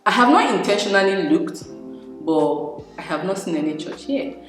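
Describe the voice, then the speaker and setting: A young woman from Nigeria, a native Igbo speaker, chatting casually to her vlog audience, laughing often and pausing to find her words. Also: medium pitch, lively intonation, faint music behind. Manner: chatty, laughs often, frequent fillers and restarts. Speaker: vlogger. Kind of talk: casual vlog talk to camera. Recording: very echoey room with long reverb.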